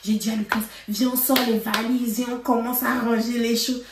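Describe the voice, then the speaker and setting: Woman vlogger, animated storytime chat, higher pitch, mic at a distance. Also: moderate pace, background noise. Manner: animated, laughing, lots of fillers. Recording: roomy, from a distance.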